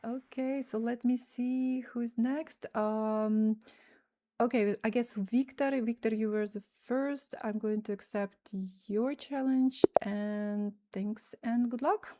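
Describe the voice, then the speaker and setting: A woman's voice: fairly high pitch, fairly even intonation, warm tone. A woman with a Russian accent, a native Russian speaker, streaming chess and thinking aloud over her moves in casual commentary. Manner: thinks aloud while playing fast games.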